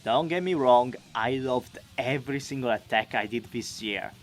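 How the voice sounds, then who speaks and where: A man giving an enthusiastic, expressive, chatty scripted recap as a video creator. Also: mid-range voice, normal speed, faint music behind. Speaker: video creator and amateur voice actor.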